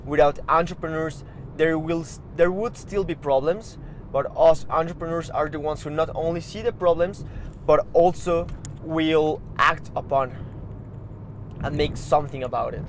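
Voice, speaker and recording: A man with a Mexican Spanish accent hosts a motivational podcast in English, his second language, with a somewhat high voice. He talks earnestly and urges listeners on, stumbling and repeating words as he goes. Street noise and cars are clearly heard behind him. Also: normal speed. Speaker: podcast host.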